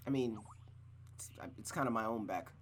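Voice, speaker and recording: A man's voice: lowish voice, normal speed, a little hiss or hum. A man streaming a visual novel, narrating it aloud like a storybook and adding casual asides.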